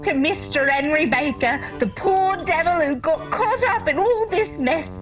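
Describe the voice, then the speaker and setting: Squeaky, eager comic sidekick. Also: female voice, lively intonation, unhurried, music in the background, low-quality recording. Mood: festive. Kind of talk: holiday radio play acting.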